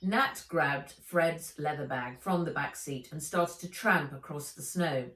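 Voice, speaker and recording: A woman's voice: low voice, unhurried, a bit bright. A woman author reading a children's novel aloud in an audiobook narration style. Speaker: author reading their own book. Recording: roomy echo, slightly distant mic.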